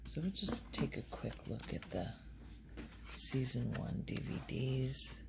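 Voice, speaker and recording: A soft-spoken woman recording casual ASMR, rambling in a hushed voice and musing aloud as she goes. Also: a bass voice, sing-song, slow pace, creaky, heavy background noise. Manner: hesitant, musing aloud, trailing off. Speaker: ASMR video creator.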